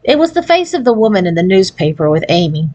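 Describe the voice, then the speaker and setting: A woman narrating a children's novel as an expressive read-aloud. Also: mid-range voice, fast talker. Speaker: storybook reader.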